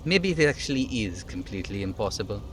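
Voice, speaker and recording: A man's voice: lowish voice, sing-song, quick pace, slight background noise. A man voicing a chatty, easygoing striking union worker in a video game, with a folksy, teasing manner.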